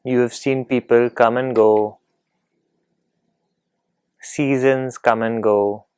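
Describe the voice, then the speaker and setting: A man leading a guided meditation in a calm, gentle, unhurried manner, leaving long pauses between phrases. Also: mid-range voice, clear, resonant tone, dry recording.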